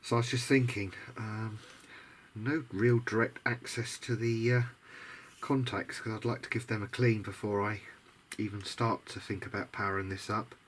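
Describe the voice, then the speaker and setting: Male hobbyist host, lowish voice, thinking out loud in an informal, tentative way while working, some background noise. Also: fairly even intonation, moderate pace. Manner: musing, tentative, thinking aloud. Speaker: video diary host, electronics hobbyist. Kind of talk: casual unscripted bench commentary while working.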